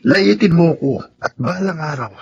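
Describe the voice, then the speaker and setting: High-voiced cocky Filipino suitor. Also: male voice, lively intonation, moderate pace, vocal fry, darkish tone, highs cut off. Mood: smug. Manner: teasing, taunting, theatrical. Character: jealous, cocky, menacing male suitor turned violent rival. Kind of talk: Tagalog radio drama acting.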